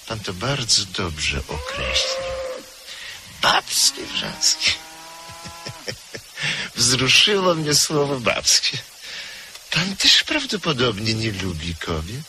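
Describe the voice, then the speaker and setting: An actor playing a tired, mocking gentleman in a radio drama, speaking with dry amusement. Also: mid-range voice, huge pitch swings, drawn-out words, pauses often, full character voice. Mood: sardonic. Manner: mocking, amused, with soft laughs. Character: weary, sardonic older gentleman. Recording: hissy old radio play recording.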